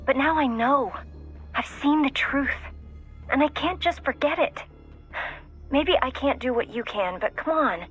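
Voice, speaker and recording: A woman voicing an earnest, determined young mission support operator in a video game, with a breathy, husky voice. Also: high-pitched, animated, unhurried, long pauses, fairly bright tone, muffled recording, faint music behind. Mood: earnest, resolute. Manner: earnest, hesitant but resolute. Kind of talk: dramatic video game voice acting.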